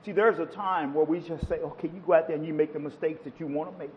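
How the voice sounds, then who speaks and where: A man's voice: on the high side, steady tone, fast, in a large hall, muffled recording, slight background noise. A man preaching a sermon to a congregation, quoting scripture with rising and falling emphasis. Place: church.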